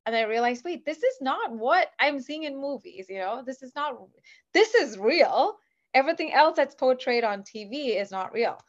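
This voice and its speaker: A woman in her thirties from Kuwait, chatting lively as a podcast guest. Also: sits high, lo-fi sound. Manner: lively, animated, quick asides. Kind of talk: casual interview chat.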